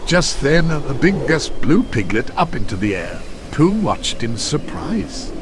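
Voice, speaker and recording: A man narrating a children's story from a script in an expressive storytelling manner. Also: a little high, unhurried, background noise.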